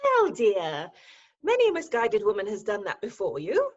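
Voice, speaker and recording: Woman as teasing young Victorian lady, animated pitch, husky, arch, stage farce, dull video-call sound. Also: normal speed. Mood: sympathetic, practical. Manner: arch, amused, expressive. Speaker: actor in an amateur staged reading.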